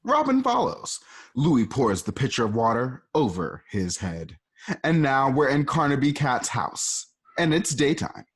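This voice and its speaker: A man's voice: mid-range voice, wildly swooping pitch, unhurried, husky. Casual man reading stage directions. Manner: casual, offhand asides. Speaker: narrator.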